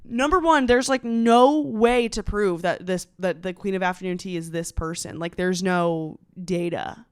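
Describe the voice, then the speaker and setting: A woman's voice: lowish voice, unhurried, smooth, dry recording, studio quality. A young American woman, a comedian, telling a story on a podcast in a casual, slangy, sarcastic way with dramatic emphasis.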